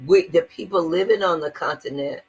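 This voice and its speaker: A woman's voice: fairly low pitch, brisk, darkish tone, slightly rough, poor audio. An older woman speaking earnestly in a conversational interview, stressing her points and often repeating words. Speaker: guest activist.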